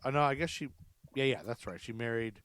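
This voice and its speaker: An American man hosting a podcast, chatting casually, reacting often and quick to agree and laugh. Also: medium pitch, fairly bright tone, smooth, no room sound. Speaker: podcast host. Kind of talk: casual history chat.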